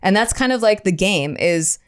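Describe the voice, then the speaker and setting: A woman's voice: medium pitch, animated, normal speed, smooth. A woman co-hosting a podcast, giving advice in a casual, conversational way. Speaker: podcast co-host.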